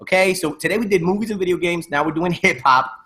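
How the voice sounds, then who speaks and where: A man with a fairly high, very bright and forceful voice hosts a casual podcast. He talks in excitable, emphatic banter, loose with slang and swearing. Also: quick pace. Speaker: podcast host.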